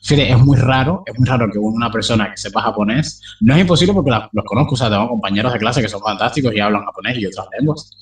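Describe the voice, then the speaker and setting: A man's voice: medium pitch, fast talker, few pauses, distant mic. A young man talking casually as an interview guest, rambling with many fillers and restarts. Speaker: polyglot interviewee.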